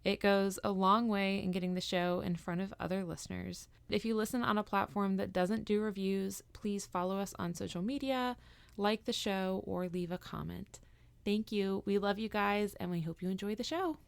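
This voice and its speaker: A woman's voice: mid-range voice, fairly even intonation, moderate pace, vocal fry, clean tone, no echo. A woman in her thirties reading a friendly, upbeat promo for her podcast. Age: 30s. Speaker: podcast host. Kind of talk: read promo message.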